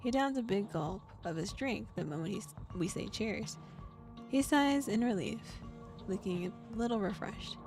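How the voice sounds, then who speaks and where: A woman voicing a scheming young male demon who calmly narrates in the first person while hunting a victim, reading a visual novel aloud. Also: mid-range voice, normal speed, music in the background, close to an everyday voice.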